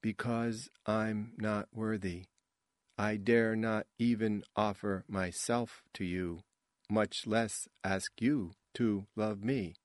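A man voicing a reluctant young woman who recites romantic stage lines softly and woodenly in an audiobook. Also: on the low side, steady tone, no room sound, clean studio sound. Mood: reluctant, quiet. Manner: slow, soft, wooden delivery.

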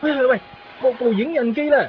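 Fussy, high-pitched Hong Kong customer. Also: male voice, fast talker, slight background noise. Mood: fussy, excitable. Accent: Hong Kong Cantonese. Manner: repetitive, exclamatory, sing-song sayings. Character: fussy, superstitious feng shui layman, excitable customer. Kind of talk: 1990s radio drama acting. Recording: muffled radio recording with music behind.

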